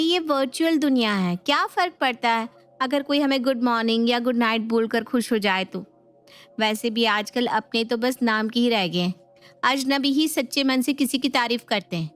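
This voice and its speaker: Woman acting a high, glib, sweet-talking young wife. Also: fairly bright tone, natural voice. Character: breezy, evasive young wife hooked on social media. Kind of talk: dramatised Hindi family storybook. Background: music.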